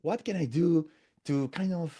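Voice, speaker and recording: Brief: male guest, 40s, somewhat high voice, chatty and halting with tag questions. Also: mellow, husky. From Latin America. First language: Spanish. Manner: restarts a lot, tags phrases with "no?" and "right?". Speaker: podcast guest. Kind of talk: casual interview storytelling.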